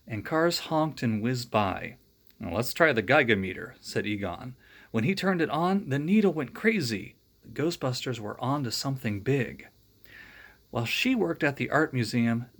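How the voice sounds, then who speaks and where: A man reading a children's storybook aloud in a friendly way, adding joking asides. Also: medium pitch. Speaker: storybook reader.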